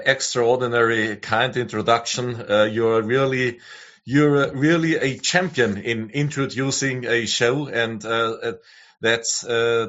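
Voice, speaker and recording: A man's voice: medium pitch, a bit bright. A German man with a German accent, speaking English as a second language, hesitant and full of filler sounds, as a guest author. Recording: dull lo-fi remote audio.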